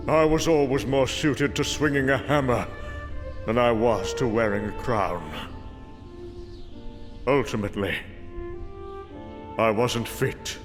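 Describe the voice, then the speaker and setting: A man playing an old, weary Norse thunder god, solemn and grave, in a dramatic comic book narration. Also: mid-range voice. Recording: long hall reverb over loud music. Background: bowed string music.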